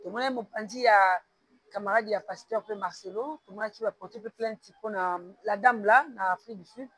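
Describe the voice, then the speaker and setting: A man's voice: sits high, fairly quick, a bit bright, no echo, rough recording. A man hosting an interview, mixing with Lingala and giving frequent short acknowledgements. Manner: mixes French and Lingala, frequent short backchannels. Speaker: web TV host. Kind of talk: interview questions.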